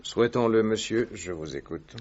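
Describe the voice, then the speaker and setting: An actor playing a calm, reserved detective in a vintage radio drama, speaking formally and deliberately. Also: male voice, lowish voice, slight background noise, close to an everyday voice. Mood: measured. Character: calm, measured male detective. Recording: dull old radio recording, distant.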